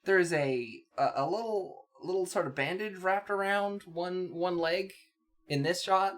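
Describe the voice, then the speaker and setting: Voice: medium pitch, unhurried. A co-host giving casual comic commentary in a wry, hesitant, nitpicking way.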